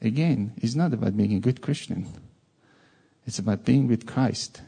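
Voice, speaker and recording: Gravelly iranian guy preaching, persian accent, dark husky voice, jokes around. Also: medium pitch, moderate pace. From Iran. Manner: animated, jokey asides. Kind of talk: informal sermon.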